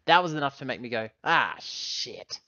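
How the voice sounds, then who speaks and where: A man's voice: mid-range voice, big pitch swings, normal speed, sharp tone, no echo, dull audio. A man chatting casually as a player in a tabletop roleplaying game.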